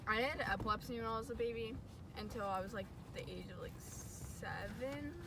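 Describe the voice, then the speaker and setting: A young American woman in casual chat and storytelling with friends, informal and emphatic, repeating herself. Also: normal speed. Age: teens or young adult. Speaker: vlog co-host. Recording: clear background noise, vehicle rumble.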